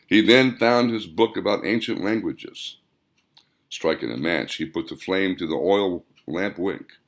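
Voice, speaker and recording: A man's voice: deep voice, unhurried. A man reading an audiobook story in a dramatic, descriptive storytelling manner. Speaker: author reading own audiobook. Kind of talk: scripted audiobook narration.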